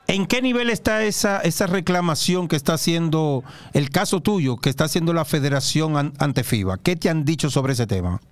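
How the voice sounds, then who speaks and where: A man hosting a sports show, teasing his guest in a joking, playful way. His voice sits a little higher than most men's. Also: animated, normal speed. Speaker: host. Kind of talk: casual sports interview. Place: small studio with desk.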